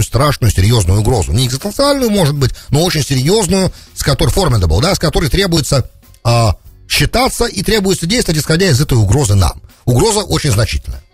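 A man's voice: a little high, big pitch swings, fast, husky, dry recording. A middle-aged man giving off-the-cuff political commentary as a radio host, close on a studio mic. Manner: peppers speech with English terms. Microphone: close studio broadcast mic on boom arm.

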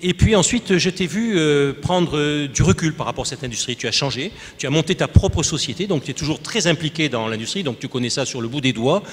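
A man's voice: on the high side, lively intonation, a little fast, hardly any pauses, a little hiss or hum. An older man moderating a conference panel, formal and wordy, often hesitating and repeating himself. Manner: formal, wordy, many fillers and restarts. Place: conference stage. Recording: reverberant hall.

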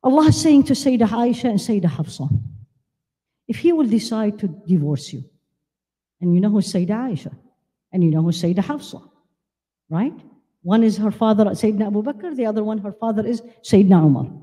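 An older woman born in Iraq, giving an animated religious lecture at a women's conference, holding a handheld mic and asking the audience questions. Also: medium pitch, fairly quick, pauses often, breathy edge. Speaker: Islamic lecturer. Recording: long hall reverb.

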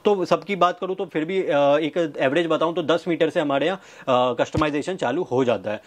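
A man in his thirties giving a sales pitch to camera, listing things with repetitive emphasis. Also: moderate pace. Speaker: fabric manufacturer's representative presenting stock. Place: fabric showroom indoors. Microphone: clip-on wireless lapel mic.

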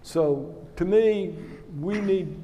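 An older man speaks bluntly and plainly on an auditorium stage, his voice sitting a bit high for a man. His words carry a long hall reverb around them. He sounds like a seasoned officer who says what he thinks. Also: very slow, dull audio, a little hiss or hum. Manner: blunt, opinionated, plain-spoken. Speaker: panel guest, retired naval officer. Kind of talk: candid panel answer.

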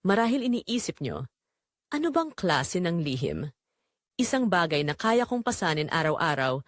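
Dry close recording, woman performing a devoted struggling mother, guilt-burdened, confessional drama narration, expressive swinging intonation, measured phrasing. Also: lowish voice, dry recording. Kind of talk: scripted dramatic first-person narration.

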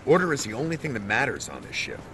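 An actor playing a nervous, deferential ship's officer in an audio drama, stammering and placating. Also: male voice, mid-range voice, wildly swooping pitch, fast, noisy background. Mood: flustered.

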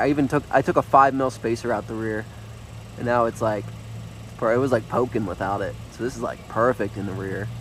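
A young man with a congested, stuffy voice talks casually into the phone he is filming with. He stands outside on a quiet street with faint traffic noise behind him, rambling and thinking aloud with plenty of fillers. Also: medium pitch. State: stuffed-up nose. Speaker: car vlogger. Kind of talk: casual hands-on car vlog. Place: outdoors on a residential street and at a gas station. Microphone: handheld phone camera.

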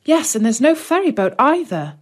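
A frightened little girl from a storybook, small and tearful, pleading in a high, wavering voice, as a woman reading the tale puts her on. The pitch sits well above an adult's, drawn out and swooping. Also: big pitch swings, moderate pace, breathy edge, heavily acted. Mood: thoughtful.

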